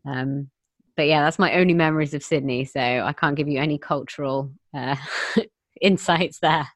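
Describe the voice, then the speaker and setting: A young woman hosting her own podcast, chatty and bubbly, with quick asides. Her voice sits low for a woman and sounds dry and close, with no room around it. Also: no room sound. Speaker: podcast host. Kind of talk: podcast intro and casual interview.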